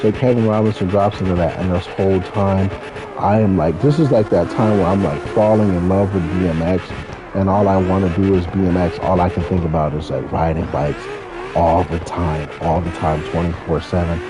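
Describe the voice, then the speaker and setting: A man's voice: low-pitched, steady tone, moderate pace. A man, telling stories in a casual, rambling, slangy way with some swearing. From California, United States. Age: 30s. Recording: noisy, with car engine sounds behind. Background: racing game car engines.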